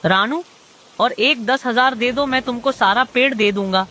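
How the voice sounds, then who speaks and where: Woman voicing a high, bright greedy male crow. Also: a little slow. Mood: aggrieved. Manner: blunt, bargaining, dismissive. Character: greedy, grumbling male crow who sells mangoes. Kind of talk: animated children's moral story dialogue.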